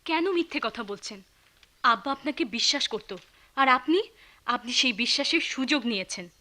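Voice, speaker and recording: An actress playing a hot-headed, defiant young orphaned daughter in a radio play, challenging and indignant. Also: female voice, sits high, animated, moderate pace, pushed and bright. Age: young adult. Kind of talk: Bengali radio play acting.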